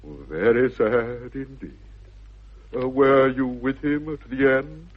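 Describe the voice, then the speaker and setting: An actor playing a pompous but gentle middle-aged English country clergyman in a 1960s radio comedy, hesitant and ornate in his speech. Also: medium pitch, slow pace, breathy edge. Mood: solemn sympathy. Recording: dull lo-fi vintage radio recording.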